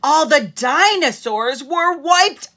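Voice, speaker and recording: A middle-aged woman reads a children's story aloud at home. Her voice is bright and forward, with lively rises and falls. She moves at an unhurried pace, stressing words like a storyteller. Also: high voice. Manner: deliberate storyteller phrasing with strong emphasis. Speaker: teacher reading aloud to children. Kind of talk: expressive picture-book read-aloud. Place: living room at home, seated in an armchair.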